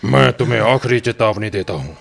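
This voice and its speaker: A weary warrior god: gravelly, curt, grim. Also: male voice, fairly low pitch, expressive, fairly quick, exaggerated voice. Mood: warning. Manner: grim, terse, commanding. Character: stern, weary male warrior god, a father. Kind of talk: dubbed game cutscene acting.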